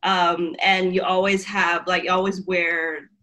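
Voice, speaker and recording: A woman's voice: lowish voice, little pitch movement, measured pace, pushed and bright. A woman from Taiwan, a podcast guest, chatting casually and laughing often. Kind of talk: casual chat.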